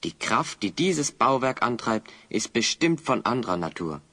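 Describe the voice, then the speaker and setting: A man's voice: mid-range voice, sing-song, moderate pace, bright, forceful tone. An actor playing a determined, thoughtful heroic adventurer in an audio drama, explaining things earnestly to his companion. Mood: pensive, frustrated.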